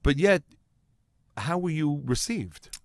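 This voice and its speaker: A man's voice: fairly high pitch, expressive, takes it slowly, no echo. A male news show host asking a guest probing questions in an earnest, conversational way.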